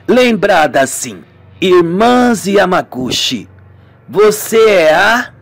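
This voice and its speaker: A man's voice: high-pitched, big pitch swings, very slow, faint music behind, full character voice. A man voicing a fussy, wary elderly woman shopkeeper in a radio melodrama, curt and suspicious. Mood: wary, indignant.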